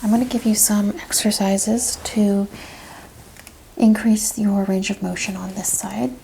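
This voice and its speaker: A woman speaks softly as a chiropractor in an ASMR roleplay, her voice mellow and hushed, often slipping toward a whisper. There is some creak in it, at an average pitch, over faint background noise. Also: fairly even intonation, measured pace. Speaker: ASMR host playing a chiropractor. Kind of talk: soft-spoken ASMR medical roleplay.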